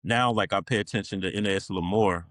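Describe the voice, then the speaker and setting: A man's voice: fairly low pitch, fast talker, fairly bright tone, no echo, studio quality. A man, middle-aged, talking informally as an interview guest, rambling through stories in a casual conversational style. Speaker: podcast guest, Navy veteran.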